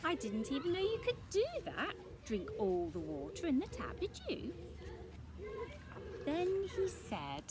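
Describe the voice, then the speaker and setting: A woman's voice: sits high, huge pitch swings, a little slow. A woman reading a picture book aloud expressively for young children, breaking off for chatty questions to her listeners.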